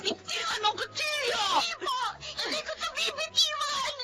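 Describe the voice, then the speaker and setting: Piercing, very high young daughter. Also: female voice, moderate pace, bright, forceful tone, raised voice, slight background noise, heavily acted. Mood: desperate, tearful. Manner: earnest, emotive. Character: devoted, protective young daughter and elder sister. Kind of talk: Filipino radio drama acting.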